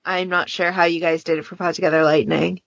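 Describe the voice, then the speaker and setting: A woman's voice: on the low side, little pitch movement, fast talker, gravelly, dry recording, lo-fi sound. A woman chatting casually and informally as a moderator on a podcast panel.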